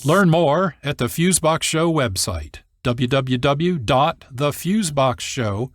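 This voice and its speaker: A man's voice: a little high, lively intonation, slow, soft background music. A man presenting a radio drama program in scripted narration.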